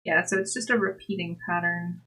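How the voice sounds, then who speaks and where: A woman's voice: fairly low pitch, fairly even intonation, a little fast, pushed and bright, slightly rough, rough recording. A woman hosting a livestream, chatting to viewers in an informal, giggly way. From Scotland-born, grew up overseas and in the United States. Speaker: livestream host and crafter. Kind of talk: casual chat with livestream viewers.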